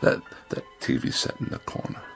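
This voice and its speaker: A man's voice: normal speed, a bit bright, faint music behind, lightly acted. A man playing a pompous, irritable and lonely magazine critic with haughty, precise diction in a 1960s television drama. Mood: anxious, desperate. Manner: haughty, ornate, precise wording.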